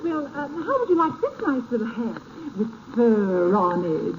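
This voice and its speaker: A woman's voice: on the high side, big pitch swings, unhurried. An actress playing a patient, courteous hat shop saleswoman, coaxing a customer in a 1930s radio comedy. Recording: tinny, hissy 1930s radio broadcast.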